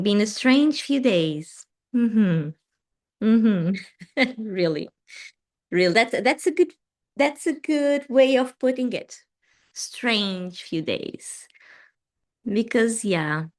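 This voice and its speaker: Slow, gentle Portuguese-accented woman. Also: medium pitch. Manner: gentle, unhurried, with many fillers and restarts. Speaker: meditation host and teacher. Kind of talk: live-streamed spiritual talk greeting viewers.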